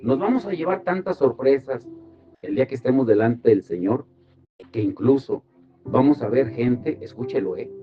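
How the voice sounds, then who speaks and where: Man preaching with questions. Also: mid-range voice. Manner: asks rhetorical questions and directs listeners to verses. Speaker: pastor leading a devotional Bible study. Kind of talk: teaching sermon. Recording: slightly dull with music behind.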